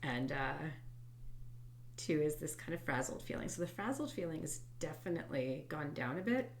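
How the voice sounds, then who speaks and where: A woman hosting a guided tapping session, congested from a head cold, speaking softly and reflectively and sometimes dropping to a whisper. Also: sits low, slight background noise. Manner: soft, reflective, drifts into quiet whispered tapping phrases. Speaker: host and EFT tapping coach.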